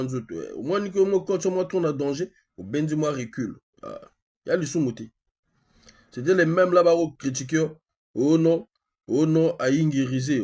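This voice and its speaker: A man from Congo with a Congolese accent and a husky voice, speaking insistently as a live-stream host, repeating phrases and asking rhetorical questions. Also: on the high side, a little mellow. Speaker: online activist host. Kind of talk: live-stream monologue in colloquial speech, with a phone call.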